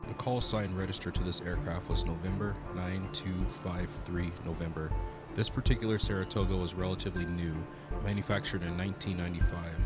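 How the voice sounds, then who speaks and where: Low male voice doing a serious suspenseful documentary read, loud music under it. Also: steady tone, brisk. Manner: solemn, dramatic, suspenseful delivery. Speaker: video narrator, channel host. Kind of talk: scripted documentary narration.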